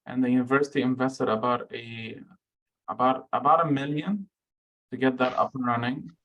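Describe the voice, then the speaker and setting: A man's voice: medium pitch, fairly even intonation, normal speed, muffled recording. A man from Lebanon answering audience questions as a guest researcher, speaking frankly with frequent fillers and restarts.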